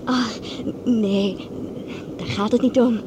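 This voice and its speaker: A woman's voice: fairly even intonation, slow. A woman playing a courteous, inquisitive air stewardess in a radio play, speaking somewhat hesitantly. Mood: hesitant, curious. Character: polite, curious young female air stewardess. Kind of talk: Dutch radio play acting. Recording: radio drama with loud cabin rumble.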